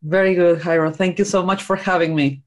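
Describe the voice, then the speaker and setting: A woman's voice: on the low side, fairly even intonation, brisk. A woman from Argentina with an Argentinian Spanish accent, telling her personal story as an interview guest. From small rural town in Argentina. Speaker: podcast guest, business consultant.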